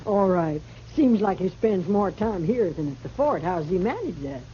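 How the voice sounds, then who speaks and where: A woman's voice: medium pitch, expressive, normal speed, warm tone, close to an everyday voice. Muffled old radio, actress playing middle-aged female saloon keeper, wry, folksy, teasing, bossy, heavy noise. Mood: nosy, wry. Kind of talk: 1950s western radio acting.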